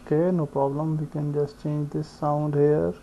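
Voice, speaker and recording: A man giving an unscripted step-by-step software tutorial, speaking haltingly and thinking aloud as he works. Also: a little high, little pitch movement, a little hiss or hum. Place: indoors, screen-recording voice-over. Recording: dull lo-fi voice recording.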